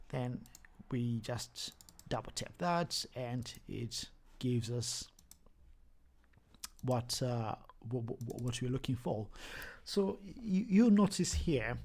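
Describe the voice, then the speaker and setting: A man's voice: medium pitch, expressive, darkish tone. A young man with a thick Zimbabwean accent who talks through a casual screen-share tutorial, stumbling and repeating words as he goes. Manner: halting, many repeated words and restarts. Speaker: YouTuber.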